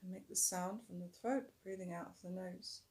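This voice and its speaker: A woman teaching a yoga breathing technique in a calm, instructive way, her voice a little rough today, sometimes stretching words slowly and dropping softer while guiding the breath. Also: on the low side. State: slightly rough voice. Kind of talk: breathing tutorial.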